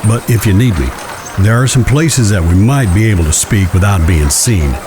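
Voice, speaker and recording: Man as courteous, low, expressive western judge. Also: normal speed, background noise, close to an everyday voice. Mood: cautioning, courteous. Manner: formal, courteous, careful. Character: measured, discreet Old West judge. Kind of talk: audio drama acting.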